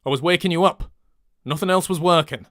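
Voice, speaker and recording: A performer voicing a gruff, blunt male centaur with a Northern English accent for a story. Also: medium pitch, expressive, extremely slow, no echo, studio quality, exaggerated voice. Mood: gruff, exasperated. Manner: gruff, forceful.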